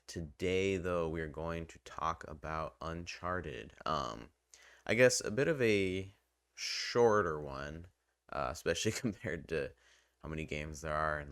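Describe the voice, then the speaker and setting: Young guy with a deep smooth voice, rambly casual video review, really dry close sound. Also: fairly bright tone, no echo. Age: young adult. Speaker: video host.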